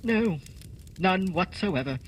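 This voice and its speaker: Man acting a grateful family man, formal, courteous, highish voice, faint noise, radio drama. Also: lively intonation, takes it slowly. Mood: startled.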